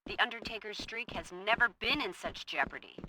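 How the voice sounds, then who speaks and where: A woman playing a demanding, authoritative wrestling boss in a video game cutscene, commanding and deliberate. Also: medium pitch, lively intonation, sharp tone, a little hiss or hum, poor audio. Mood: businesslike.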